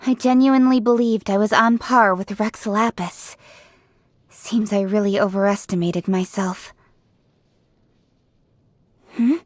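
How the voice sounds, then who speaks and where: An actress voicing a driven, confident young woman official in a video game, speaking in a brisk, forthright way. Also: on the high side, natural voice. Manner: brisk, forthright and self-assured. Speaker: game character voice lines. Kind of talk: game voice-over.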